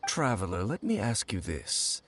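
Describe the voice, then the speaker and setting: An actor playing a grave, brooding ancient warrior in a video game, speaking in a low, measured, solemn way. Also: male voice, wildly swooping pitch, fairly bright tone. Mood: calm solemn. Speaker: game character. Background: faint game music.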